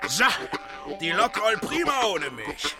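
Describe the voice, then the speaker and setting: An excitable male train driver speaks very high, with pitch leaping about. He is a jumpy radio-western figure, heard over loud music and train effects. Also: unhurried. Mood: chipper. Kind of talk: radio play acting.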